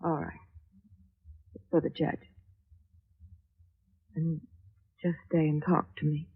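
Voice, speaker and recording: An actress playing a wealthy, high-strung young woman in an emotional, theatrical 1940s radio drama style. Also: little pitch movement, slow, slightly rough, full character voice. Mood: pleading, intimate. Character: wealthy, anxious, high-strung young heiress, pleading and imperious. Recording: dull 1940s radio recording.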